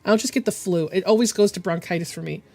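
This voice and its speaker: Hoarse sick woman with a rough voice. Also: fairly low pitch, a little mellow. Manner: halting, rambling, with frequent throat clearing. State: hoarse and deepened from bronchitis. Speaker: digital art streamer. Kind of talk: casual live-stream chat.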